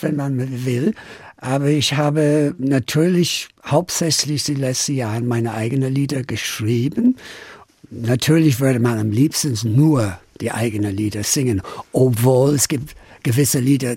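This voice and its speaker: An older man from Dublin with an Irish accent, a singer-songwriter and native English speaker, answering radio interview questions in German with hesitant pauses and small slips. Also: medium pitch, darkish tone, husky, no room sound. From Ireland, Dublin.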